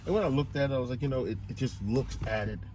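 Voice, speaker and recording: A man's voice: mid-range voice, fast talker, dark tone. A man working as a car audio installer, casually explaining his work. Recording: clear background vehicle noise.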